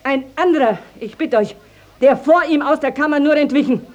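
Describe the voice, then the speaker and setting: A woman's voice: high-pitched, a little fast, highs cut off, lightly acted. An actress playing a proud, indignant middle-aged village mother in a radio play, telling her grievance emphatically and dramatically. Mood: outraged, dramatic. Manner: emphatic, declamatory, dramatic storytelling. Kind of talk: classic stage-style radio drama acting.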